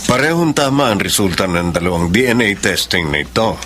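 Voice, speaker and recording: A very low, grave male voice, as a self-assured doctor in a Tagalog radio drama. He draws out his words for suspense, with slight noise in the background. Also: sing-song, a bit bright. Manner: deliberate, drawn-out suspenseful delivery. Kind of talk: Tagalog radio melodrama acting.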